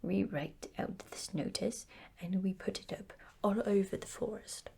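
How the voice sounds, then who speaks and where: Lady doing pompous know-it-all storybook owl, husky whisper, slow and explainy. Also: medium pitch, mellow, exaggerated voice. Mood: instructing. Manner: pompous, explaining, with small hesitations. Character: wordy, self-important male owl, learned, patient. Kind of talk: children's bedtime storybook character voice.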